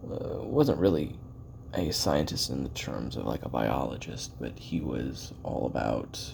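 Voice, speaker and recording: A man acting out a weary, regretful former assassin with an extremely low voice, thick with gravel and fry. He confesses his memoirs in a conversational audio-drama monologue, halting and correcting himself as he muses. A faint noise sits behind the recording. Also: lively intonation, darkish tone, heavily acted. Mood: musing, guarded. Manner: halting, musing, trailing pauses and self-corrections.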